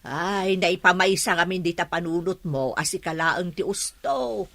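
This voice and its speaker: A woman's voice: on the low side, moderate pace, vocal fry, fairly bright tone, dry recording. A woman playing a no-nonsense middle-aged wife and mother, chiding and arguing in a radio drama. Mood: scolding. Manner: chiding, argumentative.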